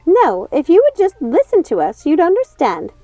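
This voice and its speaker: A frantic witch sister from a storybook, pleads in a very high, shrill voice. She wheedles and repeats herself fretfully, loud and urgent. The sound is slightly dull with music beneath. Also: wildly swooping pitch, moderate pace, no room sound, full character voice. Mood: pleading.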